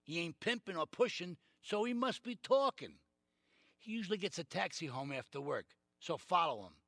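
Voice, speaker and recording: A man's voice: sits high, expressive, normal speed, a bit bright, dry recording, studio quality, exaggerated voice. A man playing a calculating, commanding middle-aged mafia boss giving orders in a video game cutscene. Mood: stern, suspicious.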